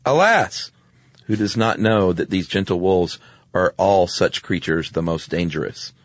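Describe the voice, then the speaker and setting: A man's voice: low voice, lively intonation, unhurried, dry recording, studio quality. A man co-hosting a podcast, recounting stories in a casual, wry conversational style. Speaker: podcast co-host.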